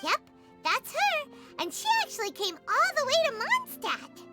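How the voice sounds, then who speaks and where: A woman voicing a tiny, cheerful, childlike fairy companion with a squeaky voice in a video game. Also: wildly swooping pitch, moderate pace, over loud music, heavily acted. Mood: excited.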